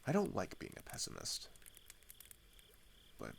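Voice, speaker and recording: A man playing a weary, hesitant teenage refugee in an intimate ASMR audio drama, speaking in a halting, confiding way that often drops toward a whisper. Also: a little hiss or hum, lightly acted. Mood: somber, disillusioned. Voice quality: low, gravelly with vocal fry.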